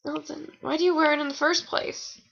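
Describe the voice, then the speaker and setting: A woman's voice: high-pitched, steady tone, brisk, gravelly, fairly bright tone. A young woman reading fan fiction aloud in a casual, informal way, with rambling, sarcastic commentary. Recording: dull lo-fi home recording.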